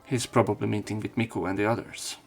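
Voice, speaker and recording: Casting: male let's player, lowish voice, reading visual novel narration over game music. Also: fairly even intonation, quick pace, creaky. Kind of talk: reading translated game narration and dialogue aloud.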